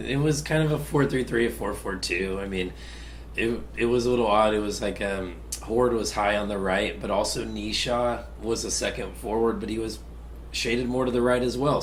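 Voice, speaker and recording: A man with a low, raspy voice, getting over a cold, talks through a soccer match in a relaxed, analytical way. He often restarts his sentences, and a faint hiss sits in the background. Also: normal speed. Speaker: podcast co-host. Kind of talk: casual sports chat.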